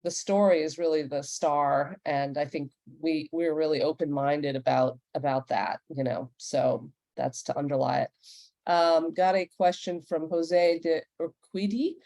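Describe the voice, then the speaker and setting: Female panel moderator; low register, soft-edged mellow tone, close dry sound. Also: unhurried, no room sound. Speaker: host and moderator.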